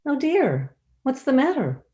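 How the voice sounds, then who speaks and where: Woman acting a slow, lilting, kindly old lady. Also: high-pitched, dull audio, exaggerated voice. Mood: bright, welcoming. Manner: unhurried, expressive, gently chuckling. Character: kindly old lady, cheerful, gently defiant homeowner. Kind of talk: staged play reading.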